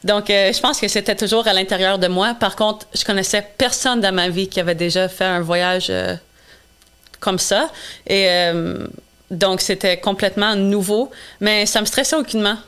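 A woman's voice: medium pitch, steady tone, moderate pace, a bit bright, smooth. A woman in her twenties whose first language is English, answering interview questions earnestly with frequent hesitations. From Quebec. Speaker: guest, charity founder.

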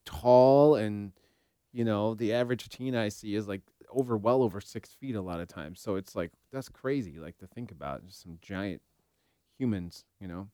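A man's voice: fairly low pitch, normal speed, warm tone, smooth, dry recording, studio quality. A man co-hosting a podcast, talking informally and loosely as he reasons things out. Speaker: podcast co-host. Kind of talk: casual podcast discussion.

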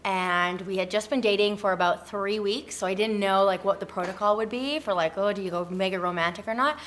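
A woman's voice: medium pitch, a little fast, a bit bright. A woman guest talking casually and playfully in an interview, with an informal, enthusiastic manner.

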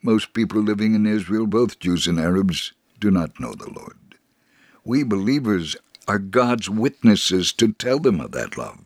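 A man's voice: lowish voice, lively intonation, a little slow, dry recording. A man playing a devout Holocaust survivor from Israel, telling his story earnestly in a dramatic memoir reading. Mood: earnest, solemn. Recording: very dry studio, faint music bed.

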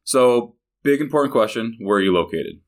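A man's voice: mid-range voice, animated, normal speed. An American man hosting a podcast interview in an office studio, conversational and complimentary toward his guest. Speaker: podcast host and chamber executive director.

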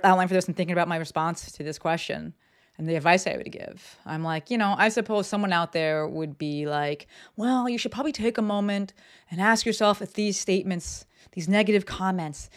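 A woman's voice: fairly low pitch, a little fast. Brief: woman, solo podcast host at her desk, frank and profane, chatty with plenty of fillers. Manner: blunt and profane, lots of fillers. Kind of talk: casual solo podcast talk. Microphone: desk microphone.

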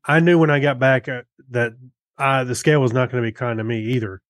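An American man talks casually as a podcast co-host. His voice sits at an average male pitch and his manner is folksy and easygoing. The recording is dry and close. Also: fast, dry recording. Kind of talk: casual chat.

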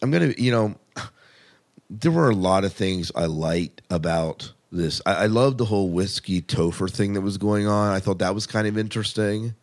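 A man's voice: on the low side, normal speed. A man hosting a podcast, chatting casually about television in an informal, rambling, opinionated way. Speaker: podcast host. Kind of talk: casual TV review chat.

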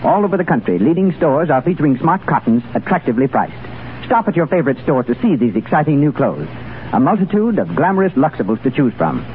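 A male announcer reads his script in 1930s radio style, his fairly high voice swinging widely in pitch. The recording is narrow and tinny, with faint noise. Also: sing-song, fast talker.

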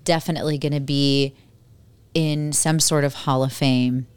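A woman's voice: very deep voice, fairly even intonation, measured pace, clear, resonant tone, dry recording. A woman co-hosting a podcast, effusive and enthusiastic as she introduces and questions her guest. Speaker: podcast co-host. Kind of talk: casual interview chat.

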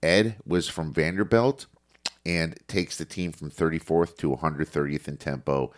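A man with a head cold, sounding congested, going through a list of sports notes in a casual podcast with a steady reading delivery and some fillers. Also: rumbling low, normal speed. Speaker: sports betting podcast host.

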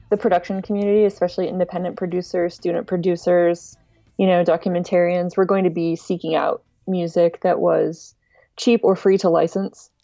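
A woman giving measured, explanatory answers as a guest in a podcast interview. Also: fairly low pitch, gravelly, warm tone, no room sound. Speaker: podcast guest, music archive director. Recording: dull lo-fi sound.

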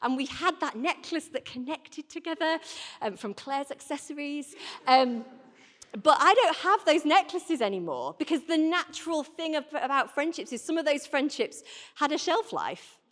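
A woman's voice: high voice, lively intonation, moderate pace, fairly bright tone. A woman in her thirties gives an informal, conversational sermon as a church speaker, often putting rhetorical questions to her listeners. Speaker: church warden giving a talk. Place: large indoor church. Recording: reverberant hall, live talk.

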